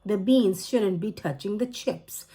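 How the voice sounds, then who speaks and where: A woman's voice: medium pitch, a little slow, dark tone, breathy edge. A woman voicing a sulky, rude little girl who whines and complains in a storybook read-aloud. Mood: whiny. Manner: whiny, complaining.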